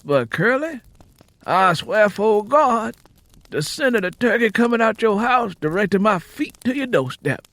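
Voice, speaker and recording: A man's voice: high-pitched, big pitch swings, measured pace, a bit bright, no echo, voice pushed up, full character voice. A man voicing a genial, wheedling travelling musician with folksy, polite speech in an audiobook story. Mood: wheedling, friendly. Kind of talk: audiobook character dialogue.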